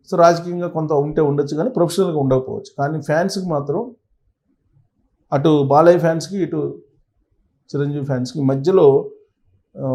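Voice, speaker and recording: A man's voice: on the high side, dark tone. A man presents conversational news commentary and analysis, stressing points emphatically and repeating phrases. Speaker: presenter of a film news channel.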